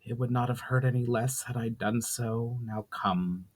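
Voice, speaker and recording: A man plays a flaming sorceress from a fantasy audiobook. She is solemn and cryptic. Her speech is formal and measured, with an archaic turn. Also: medium pitch, steady tone, natural voice. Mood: grave, instructive. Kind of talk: dramatic fantasy audiobook reading.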